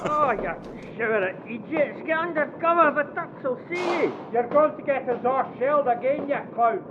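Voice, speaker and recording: A man's voice: lively intonation, moderate pace. A man playing an exasperated soldier who urgently scolds careless comrades in an audio drama. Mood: exasperated, alarmed. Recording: muffled, heavy battlefield rumble and noise.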